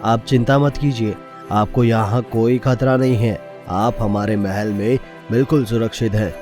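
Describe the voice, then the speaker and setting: A man's voice: fairly low pitch, fairly even intonation, measured pace, music in the background, close to an everyday voice. A man playing a kindly, dignified king who speaks formally in a cartoon story. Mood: reassuring. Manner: regal, formal. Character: kindly, dignified king of a fairy-tale kingdom.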